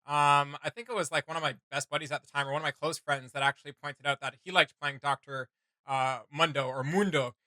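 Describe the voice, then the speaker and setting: A man's voice: fairly high pitch, fairly even intonation, fairly quick, sharp tone, dry recording. A man hosting a reaction video, talking in a casual, rambling, conversational way.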